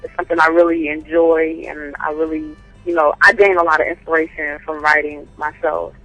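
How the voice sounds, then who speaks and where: A woman poet calling in to an interview, answering thoughtfully with frequent pauses and fillers. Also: low voice, little pitch movement, a bit bright, dry recording, dull audio, faint music behind. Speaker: guest poet and writer. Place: calling in from home by phone.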